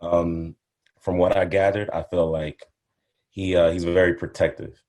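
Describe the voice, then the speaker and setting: A man answering casually as a cast member in a group chat, low-key and earnest. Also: little pitch movement, a little slow, a little mellow.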